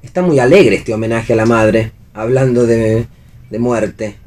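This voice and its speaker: An Argentine actor playing a wry, bantering husband, fond but sceptical, in a radio play reading. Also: male voice, mid-range voice, a little slow, darkish tone, close to an everyday voice.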